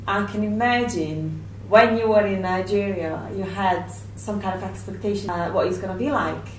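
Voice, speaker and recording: A woman's voice: medium pitch, moderate pace. A woman hosting a talk show who asks chatty, prompting questions. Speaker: show host. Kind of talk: interviewing. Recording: roomy echo, mic at a distance, faint noise.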